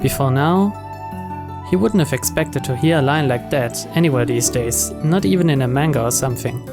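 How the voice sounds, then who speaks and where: A man with a medium-pitched voice reads story narration from a visual novel as host of a let's play. Game music is clearly heard behind him. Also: lively intonation, normal speed.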